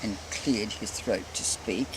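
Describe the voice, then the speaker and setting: A woman's voice: rumbling low, background noise. An older Australian woman giving a theatrical, lilting recitation of a bush ballad to her family in an amateur recording. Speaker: family matriarch reciting a poem. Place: family Christmas gathering.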